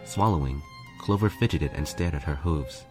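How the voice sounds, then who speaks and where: Very deep-voiced man narrating. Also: normal speed, a little mellow, soft background music. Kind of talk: first-person scripted fan fiction narration.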